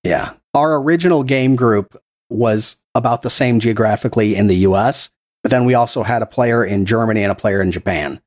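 A man's voice: on the low side, a little fast, a little mellow. A man who produces a podcast and runs its game, answering interview questions in an earnest, explanatory way with a few restarts, speaking into a podcast microphone. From Texas.

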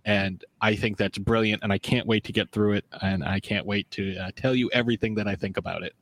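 A man's voice: fairly low pitch, fairly even intonation, a little fast, fairly bright tone, dry recording. A man hosting a book interview podcast in a casual, rambling way, full of fillers. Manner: rambling, lots of fillers and restarts. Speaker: podcast host.

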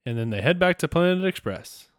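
A man's voice: on the high side, animated, a little fast, no echo. Man casually co-hosting a podcast, dry sound. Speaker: podcast co-host. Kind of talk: casual episode recap chat.

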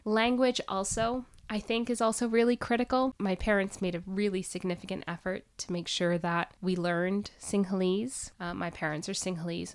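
A woman guest telling stories about her family in a chatty, easygoing way. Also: medium pitch. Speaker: interview guest. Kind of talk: casual storytelling.

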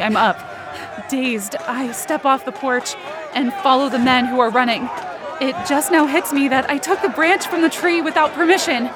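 A woman playing an anxious, desperate woman who narrates her own ordeal urgently in an audio drama. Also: high-pitched. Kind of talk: first-person present-tense audio drama narration. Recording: noisy outdoor crowd sound effects behind.

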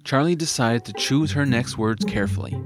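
A man narrates a scripted audio drama. His voice is mid-pitched and his delivery is expressive and dramatised. Music is clearly heard behind him. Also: fairly quick.

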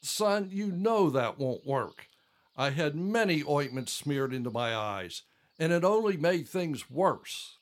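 A man's voice: on the high side, lively intonation, slow pace. An actor playing a stubborn, devout old blind father in an audio drama. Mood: questioning, resigned.

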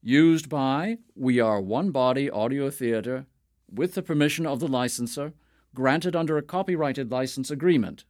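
A man's voice: medium pitch, moderate pace, darkish tone, no echo, studio quality. A man reading a formal production credit in a matter-of-fact way. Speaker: production announcer. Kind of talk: read credit announcement.

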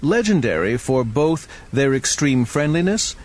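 A man's voice: mid-range voice, big pitch swings, a little slow. A man reading scripted second-person story narration as the narrator of an audio adventure game.

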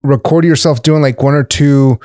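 A man's voice: mid-range voice, fairly even intonation, moderate pace, a little mellow, no echo. Laid-back guy hosting a podcast, cusses a lot, laughs easy, dry close sound. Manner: laughing, swearing, rambling. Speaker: podcast host. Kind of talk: casual podcast interview.

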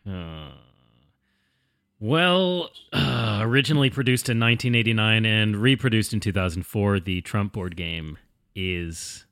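A man's voice: on the low side, lively intonation, a little slow, a bit bright, smooth, no room sound. A man hosting a casual comedy podcast, joking in a playful, dry way. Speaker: podcast host.

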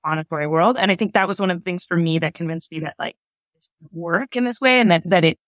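A woman's voice: fairly low pitch, dry recording. A woman speaking as an interview guest, explaining creative work in a casual, conversational style.

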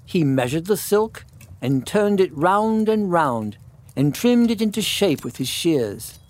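A man narrating a classic children's story in measured phrases with dramatic pauses. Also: sits high, very animated, darkish tone.

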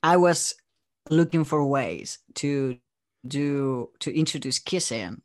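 A man's voice: a little high, lively intonation, takes it slowly, dry recording, studio quality. A man from Spain with a Spanish accent, chatting casually as an interview guest and acting out his own thoughts as he goes. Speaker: interview guest, audio drama creator.

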